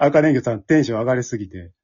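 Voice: medium pitch, expressive, racing, mellow, slightly rough, lo-fi sound. Someone with a Kansai Japanese accent hosting a casual group chat. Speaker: host of an after-show talk.

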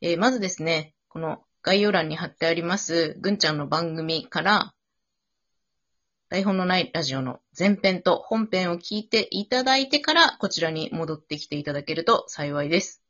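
A woman hosts a casual after-show chat. Her voice is bright and her intonation moves around a lot. The sound is slightly dull and lo-fi. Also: fairly low pitch, expressive, moderate pace. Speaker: radio show host. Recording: dull lo-fi online audio.

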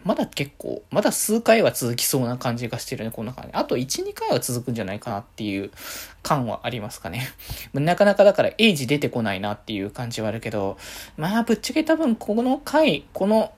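A man hosting a solo podcast, giving casual fan commentary and thinking aloud in loose, winding sentences. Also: mid-range voice.

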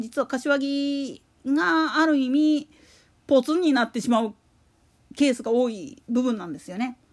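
Woman, high pitch, casual solo podcast commentary, rambling, opinionated, emphatic repetition. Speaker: podcast host.

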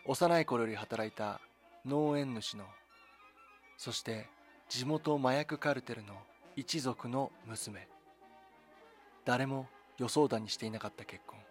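A man narrates a documentary drama in a solemn, measured way. His voice sits at a medium pitch, and he sets out the story in short, deliberate phrases. Music plays underneath him. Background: background music.

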